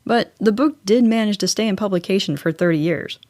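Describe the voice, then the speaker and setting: A woman's voice: mid-range voice, fairly quick, mellow, no echo, clean studio sound. An American woman hosting a solo podcast, narrating in a chatty, scripted style with wry, informal asides. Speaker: podcast host.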